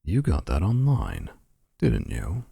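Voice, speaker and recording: A man's voice: very deep voice, huge pitch swings, slow, creaky, warm tone, husky, no echo, clean studio sound, full character voice. A man voicing a possessive, smug male vampire suitor in a boyfriend roleplay, talking in a menacing, boastful way. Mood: threatening.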